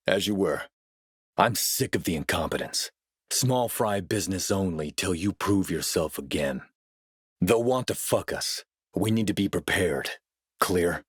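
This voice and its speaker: Husky, low-voiced militia warlord. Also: male voice, no room sound, clean studio sound, full character voice. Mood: stern, grudging approval. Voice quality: rough, husky. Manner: commanding, measured, threatening. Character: ruthless middle-aged male militia warlord, cold and menacing. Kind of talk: scripted video game character lines.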